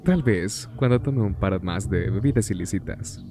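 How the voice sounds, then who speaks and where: Man as a low-voiced, pompous gentleman cat. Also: moderate pace, natural voice. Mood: dryly pompous. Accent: Latin American. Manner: pompous, dry. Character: suave, self-important, tippling gentleman cat. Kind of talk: animated cartoon fandub. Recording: music playing behind.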